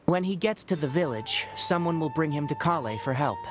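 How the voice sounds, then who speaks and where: Dude doing a level-headed game ranger, cool and clinical, gives orders, faint music behind. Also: male voice, a little high, fairly quick. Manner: calm, clinical, commanding. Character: composed young male forest ranger. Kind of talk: game voice acting.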